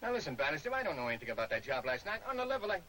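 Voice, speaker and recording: A man's voice: a little high, very animated, very fast, sharp tone, poor audio. A man playing a nervous, slangy small-time crook pleading his innocence in a 1940s movie serial. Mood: anxious, pleading. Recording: old film soundtrack with faint hiss.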